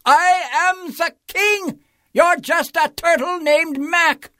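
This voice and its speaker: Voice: sits high, animated, voice pushed up. A storyteller voicing a pompous, boastful male turtle king who bellows commands and gloats in a storybook read-aloud. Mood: bellowing. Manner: drawn-out shouted boasts, gleeful laughs.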